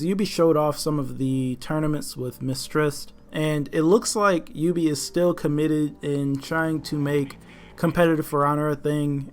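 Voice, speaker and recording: A man delivering scripted gaming news commentary in a casual, opinionated way, talking straight to viewers. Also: a little high. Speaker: video game news YouTuber. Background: background music.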